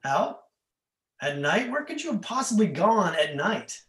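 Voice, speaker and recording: A man reads the part of a nagging husband in a stage play, his voice on the high side. He chides and questions pointedly, with quiet menace under the words. The room rings noticeably around him. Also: big pitch swings, measured pace, exaggerated voice. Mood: questioning. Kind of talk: play reading. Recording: echoey room.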